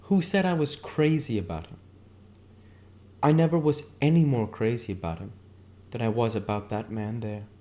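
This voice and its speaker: A man puts on a defiant married woman in an audiobook, lifted well above his own pitch. She is bitter and scornful, leaning on her words with indignation. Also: animated, normal speed, mellow, lightly acted. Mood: indignant. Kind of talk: audiobook character dialogue.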